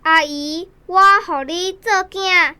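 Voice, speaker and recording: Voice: very high-pitched, fairly even intonation, slow pace, bright, forceful tone, full character voice. An actor voicing a young child with a Taiwanese accent in a radio drama. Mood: pleading, sweet. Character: young child asking aunt to adopt them.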